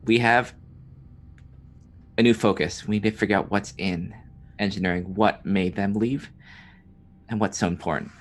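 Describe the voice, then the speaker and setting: Low-voiced man in a roleplaying game. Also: steady tone, normal speed, long pauses. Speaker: tabletop roleplaying player. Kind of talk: in-character game discussion.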